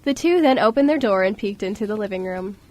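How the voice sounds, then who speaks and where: A woman's voice: mid-range voice, lively intonation, fast, a little mellow. A woman reading scripted storybook narration as the narrator of an amateur radio drama.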